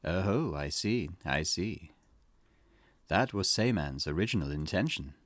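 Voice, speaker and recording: A man's voice: low-pitched, lively intonation, no echo. A man reading as a solemn, formal spirit guide. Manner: measured, formal reading of game dialogue. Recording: game music playing behind.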